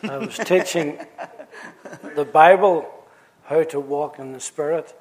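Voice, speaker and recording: A man's voice: fairly high pitch, low-quality recording. Wry Belfast man telling his story. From Northern Ireland. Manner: wry, joking, halting restarts. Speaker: pastor. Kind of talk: interview storytelling.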